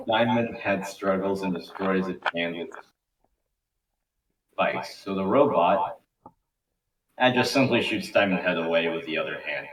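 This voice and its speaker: A man's voice: sits low, a little slow, pauses often, fairly bright tone. A man reading scripted narration as the narrator of an amateur table read. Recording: roomy, distant mic with faint noise.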